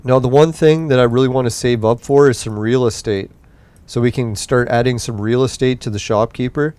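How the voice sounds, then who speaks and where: Young male podcast host, smooth voice, loose and profane, tiring late at night. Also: medium pitch, a little mellow, dry recording. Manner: rambling, profane, laid-back. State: voice getting tired late at night. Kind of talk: casual gameplay commentary.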